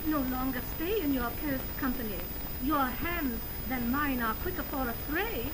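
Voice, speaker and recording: A noisy, crackling 1929 broadcast recording. a lovelorn young woman with a very high voice, timid and wounded, declaiming plaintively in old-fashioned Shakespearean style. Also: a little slow. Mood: fearful, spiteful. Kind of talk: 1920s radio Shakespeare acting.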